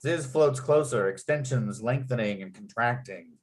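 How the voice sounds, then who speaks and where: A man with a medium-pitched voice serves as narrator for a script read. He delivers stage directions and scene headings as written, in descriptive phrases. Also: moderate pace. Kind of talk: reading screenplay stage directions aloud.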